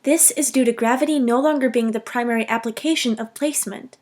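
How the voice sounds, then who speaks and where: High-voiced young woman doing jokey commentary. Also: quick pace, darkish tone. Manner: self-deprecating, mock-dramatic. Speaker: video essay narrator. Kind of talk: scripted humorous commentary on a video game.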